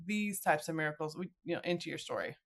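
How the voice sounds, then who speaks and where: A woman's voice: low voice, lively intonation, fast. A middle-aged woman hosting a podcast in a casual, chatty way, informally acting out what people might say. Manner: chatty, informal, acts out imagined remarks. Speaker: podcast host. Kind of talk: casual chat.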